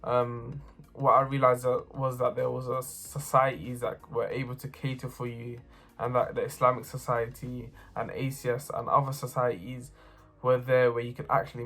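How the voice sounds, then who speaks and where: A young man, a student giving a plain, earnest personal account with short pauses. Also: mid-range voice, monotone, a bit bright. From North London. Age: young adult. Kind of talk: personal testimony to camera.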